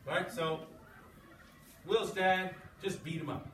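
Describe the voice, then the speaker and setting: A man's voice: high voice, steady tone, takes it slowly, a bit bright. A man teaching English to sixth graders, reading aloud and leading class discussion in an informal way, often checking with his listeners. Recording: echoey, noisy classroom, distant mic.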